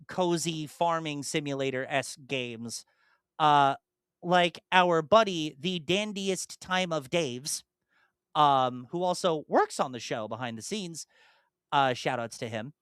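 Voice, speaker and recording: A man co-hosting a casual podcast, rambling with lots of fillers and restarts, slipping into animated, mock-exasperated asides. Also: a little high, slow, smooth, dry recording, clean studio sound. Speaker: podcast co-host and streamer.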